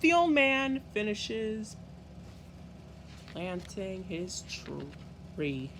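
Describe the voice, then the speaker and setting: A man reading a children's story aloud in an expressive, deliberate way with halting phrasing. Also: high-pitched, noisy background. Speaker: storybook reader.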